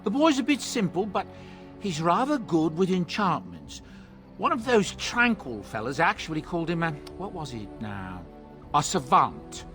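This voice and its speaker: A man's voice: on the high side, very animated, moderate pace, a bit bright, soft background music. An actor playing a jovial, talkative dwarf merchant with folksy salesman patter in a video game. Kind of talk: video game cutscene dialogue.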